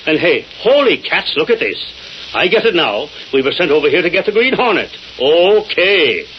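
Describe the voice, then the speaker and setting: An actor playing a tough, excitable police officer in an old-time radio drama, brisk and exclamatory. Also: male voice, on the high side. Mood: excited. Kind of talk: 1930s-40s radio drama acting. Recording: noisy, muffled old-time radio recording.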